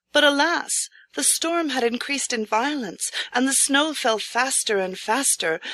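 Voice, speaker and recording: A woman reading a scripted folk tale as an audiobook narrator, in a measured, expressive storytelling manner. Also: fairly high pitch, dry recording.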